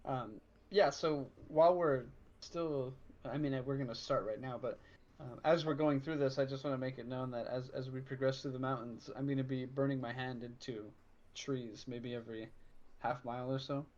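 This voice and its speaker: A man's voice: medium pitch, steady tone, normal speed, a little mellow. A man playing a tabletop role-playing game, talking in casual, slangy banter on a dull recording. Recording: dull lo-fi sound, background noise.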